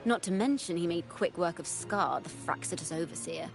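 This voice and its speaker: An actress voicing a poised, knowing young woman adventurer who recounts events in measured, encouraging video game dialogue. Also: fairly low pitch, creaky. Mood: measured, recounting. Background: game music.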